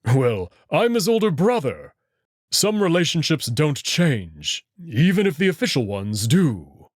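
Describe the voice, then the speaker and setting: Give the part this: a man acting a proud beast prince from a sci-fi visual novel, protective and sure of himself. His pitch swings very widely while his phrasing stays measured and formal. The sound is close and dry. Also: big pitch swings, dry recording. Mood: assured, explanatory. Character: Amicus, proud protective furry alien prince, an older brother.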